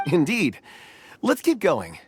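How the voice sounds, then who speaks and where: A man playing a gentle, thoughtful, hesitant stone expert in a video game, with a musing and courteous manner. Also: a little high, huge pitch swings, takes it slowly, noisy background, full character voice.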